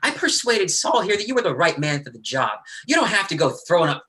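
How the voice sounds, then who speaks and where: A man playing a cocky, bullying hustler in a play reading, taunting in a folksy, rough-edged way. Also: sits high, very animated, brisk, sharp tone, full character voice. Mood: pushy.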